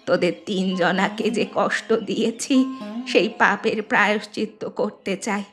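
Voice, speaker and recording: A woman voicing an elderly village mother-in-law in a cartoon, weary and humbled, with a slow, plaintive, confessional way of talking. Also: medium pitch, fairly even intonation, a bit bright, soft background music, lightly acted. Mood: sorrowful. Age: older. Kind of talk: Bengali moral cartoon dialogue.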